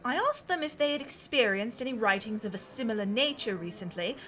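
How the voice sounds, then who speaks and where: A woman's voice: a little high, lively intonation, fairly quick, bright, forceful tone. Poised noblewoman reporter, played by an actress. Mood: composed, assured. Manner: poised, genteel, formal. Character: confident aristocratic lady journalist in wartime London. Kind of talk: period audio drama acting.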